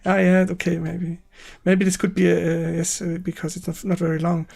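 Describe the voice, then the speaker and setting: A man with a German accent hosting a casual livestream interview, haltingly searching for his words in English as a non-native speaker. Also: high-pitched, completely flat delivery, creaky, darkish tone, faint music behind. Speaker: stream host interviewing a guest.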